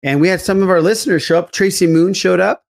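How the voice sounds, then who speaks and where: A man's voice: sits high, quick pace, gravelly. Man hosting a casual podcast chat. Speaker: podcast host.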